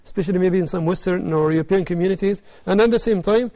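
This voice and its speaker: A man's voice: high voice, fast, dark tone. A man speaking as an expert guest, explaining things in a panel discussion.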